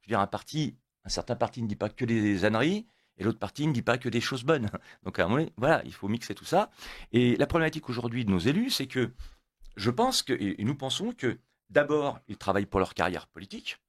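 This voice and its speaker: A man's voice: medium pitch, big pitch swings, fast talker, no room sound, clean studio sound. A man born in Italy, a guest on a radio interview, talking emphatically and repeating words when pressed. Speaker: guest, motorcyclists' federation coordinator.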